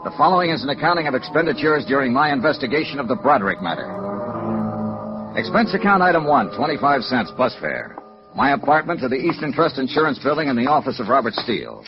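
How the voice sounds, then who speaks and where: An actor playing a wry, hardboiled, middle-aged insurance investigator in a clipped, matter-of-fact 1950s radio noir style. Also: male voice, medium pitch, very animated, fast talker. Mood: brisk, matter-of-fact. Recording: muffled vintage radio recording with music.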